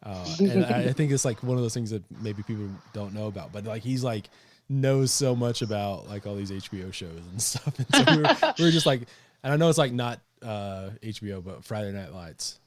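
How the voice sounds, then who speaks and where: Male host, low voice, casual and halting, filler-heavy. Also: expressive. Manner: loose, hesitant, heavy use of "like". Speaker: podcast host. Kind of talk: casual interview chat.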